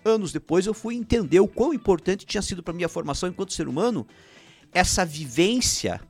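A man's voice: high voice, animated, a little fast, a little mellow, slightly rough, faint music behind. Chatty man with Ukrainian roots. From Paraná, Brazil. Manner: rambling, frequent restarts and "né?" tags. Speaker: filmmaker guest. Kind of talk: casual interview chat.

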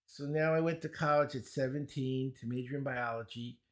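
Wisecracking older Brooklyn man. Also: mid-range voice. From Brooklyn, New York. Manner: wisecracking, chuckles at his own jokes, rapid run of credentials. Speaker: guest, speed-reading teacher. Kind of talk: casual interview answers.

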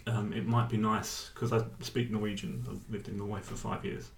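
A man's voice: on the low side, level tone, normal speed, vocal fry, echoey room, slight background noise. An English man who performs spoken word, talking in a rambling, hesitant way with many restarts, in a casual interview. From England.

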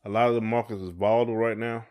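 Podcast co-host: middle-aged man, lower register, relaxed wording, conversational and colloquial. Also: very fast. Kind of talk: casual chat.

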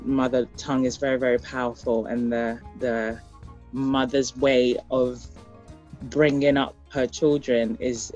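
Thoughtful, halting speaker raised in Ghana, music behind. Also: mid-range voice, darkish tone, dull audio. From born in Liberia, raised in Ghana, later the Netherlands and UK. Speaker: interview guest. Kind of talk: reflective conversational interview.

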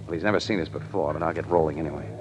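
An actor playing a brash, wisecracking crime photographer in an old-time radio drama, slangy, breezy and quick-witted. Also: male voice, rumbling low. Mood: intent, sure. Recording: muffled, noisy old radio recording.

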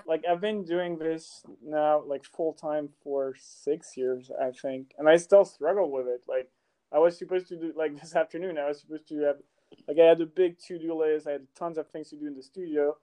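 A man's voice: on the high side, steady tone, normal speed, dark tone, rough recording. A man from France in his thirties, a music producer talking casually in an interview, rambling with many fillers and restarts.

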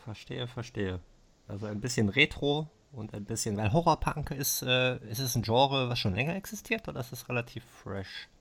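Relaxed male podcast host. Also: mid-range voice, moderate pace. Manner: relaxed, repeats "verstehe", clears throat. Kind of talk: casual interview.

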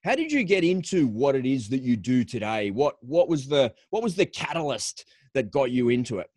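A man's voice: medium pitch, big pitch swings, normal speed, darkish tone, dry recording, clean studio sound. A man hosting a podcast, giving an enthusiastic, promotional introduction and interviewing a guest. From Australia. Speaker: podcast host.

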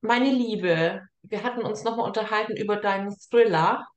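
A woman's voice: medium pitch, moderate pace. A female interviewer talks casually and encouragingly in a mid-range voice. Her sound is roomy, with audible echo around the words. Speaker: interviewer, host.

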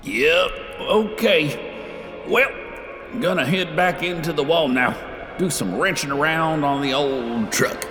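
Slow, folksy, echoing handyman. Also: a little high, sharp tone. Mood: easygoing, fond. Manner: laid-back, folksy, halting. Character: folksy, easygoing ghostly handyman who lives in walls. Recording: echoey, long reverb, music underneath.

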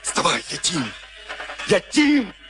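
Rough loud camp bully, voice jumps all over, old soviet radio play, music blaring behind. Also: male voice, sits high, takes it slowly, heavily acted. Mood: aggressive, demanding. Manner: loud, insistent, excited. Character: rough male camp tough, bullying, shouting demands.

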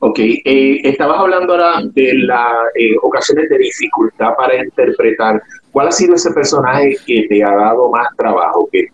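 A man interviewing a guest, asking long questions in a measured way with filler pauses. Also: medium pitch, poor audio.

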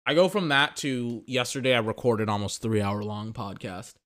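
Man, young adult, podcast host, clear resonant voice, rambling emphatic rant, a bit tired. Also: medium pitch, normal speed, fairly bright tone. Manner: rambling, emphatic, restarts phrases, swears. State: a little tired. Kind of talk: casual ranting commentary.

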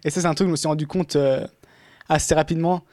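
A man's voice: fairly high pitch, steady tone, fast, darkish tone, no room sound, studio quality. A man talking casually in a podcast conversation, rambling with many fillers and restarts.

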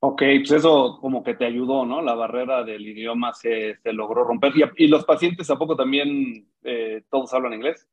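A husky male voice of average pitch, comes through slightly dull audio. He speaks as an interviewer, informal and conversational with his guest. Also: normal speed. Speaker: interviewer, host.

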